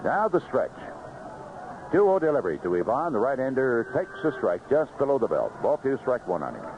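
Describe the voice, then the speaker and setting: A man's voice: mid-range voice, wildly swooping pitch, brisk. A man calling live baseball play-by-play in clipped, conversational phrases on a vintage radio broadcast. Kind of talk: live play-by-play sports commentary. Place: outdoor ballpark broadcast booth. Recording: noisy muffled vintage 1970s radio broadcast.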